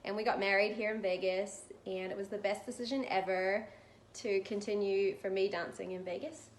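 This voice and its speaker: A woman's voice: medium pitch, fairly even intonation, normal speed, a little hiss or hum. A woman with a New Zealand accent, chatting casually as an interview guest in a roomy recording. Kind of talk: casual chat.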